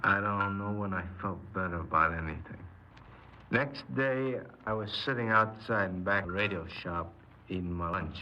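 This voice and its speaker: A man's voice: sits low, normal speed, dull audio, natural voice. An actor playing a cold, boastful, menacing criminal confessing his robberies in a 1950s radio crime drama. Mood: smug, recounting. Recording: noisy 1950s radio recording.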